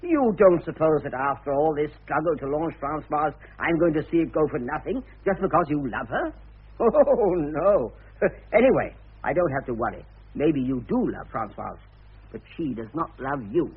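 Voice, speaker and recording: Slick high-voiced promoter, tinny radio. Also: male voice, lively intonation, breathy edge. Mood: indignant, scornful. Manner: brisk, domineering, self-satisfied. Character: slick cynical publicity promoter who manufactures celebrities. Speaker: actor in a radio play. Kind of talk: theatrical radio drama acting. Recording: tinny narrow-band old radio recording, faint noise.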